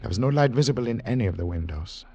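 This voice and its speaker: An actor playing a weary, guarded agent on the run, in 1940s radio thriller style. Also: male voice, mid-range voice, expressive, fast talker, dark tone, highs cut off. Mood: brooding narration. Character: weary, wary male foreign confidential agent, on the run. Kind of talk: 1940s radio acting, first-person thriller narration. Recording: noisy old 1940s radio broadcast.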